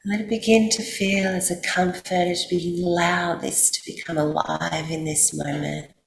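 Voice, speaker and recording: A woman with an Australian accent guides a sleep meditation in a soothing, unhurried way, leaving long pauses. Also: lowish voice, little pitch movement, creaky. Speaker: yoga nidra guide. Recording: roomy echo, faint background noise.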